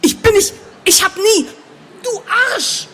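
Woman voicing a blunt, stubborn hard-drinking heroine, fairly high. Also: lively intonation, moderate pace, a little hiss or hum, lightly acted. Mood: outraged. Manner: blunt, defiant, colloquial.

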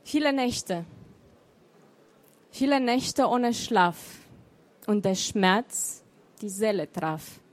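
A middle-aged woman whose first language is Romanian, with a Romanian accent, reading her poems in a deliberate, emotional way. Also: medium pitch, lively intonation. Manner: slow, deliberate, emotional recitation. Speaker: poet and author. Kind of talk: poetry reading.

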